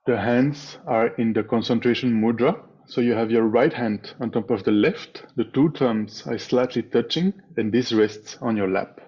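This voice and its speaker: A man leading a guided meditation as a teacher, speaking in a measured way with pauses between short phrases over a slightly dull video call. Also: mid-range voice, darkish tone, slightly rough. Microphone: video call microphone.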